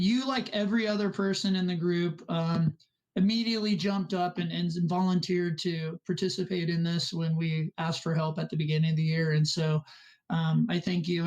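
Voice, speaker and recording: A man's voice: sits high, poor audio. A man hosting an online interview, chatty and rambling as he introduces his guest. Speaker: host, robotics club teacher.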